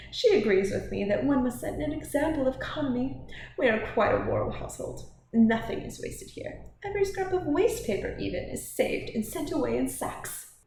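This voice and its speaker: Woman doing a bossy gushing old manor lady, high and swoopy, storybook style, echoey room. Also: creaky, darkish tone. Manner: emphatic, swooping, grand. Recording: roomy echo, faint background noise.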